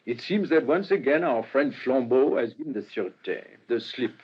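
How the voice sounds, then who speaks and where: An actor playing a brisk, serious police inspector in a radio play, husky, formal and dramatic. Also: male voice, mid-range voice, expressive. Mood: grave, urgent. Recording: dull old radio recording.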